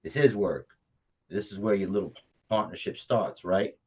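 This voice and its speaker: A man in a script table read playing a brash, streetwise agent out for revenge, talking slangy and cocky with a husky voice. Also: lowish voice, normal speed. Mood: cocky, wheedling. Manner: slangy, profane, stubborn, cocky. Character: brash, vengeful, hard-drinking lone agent, male, streetwise. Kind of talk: virtual table read of a comedy screenplay.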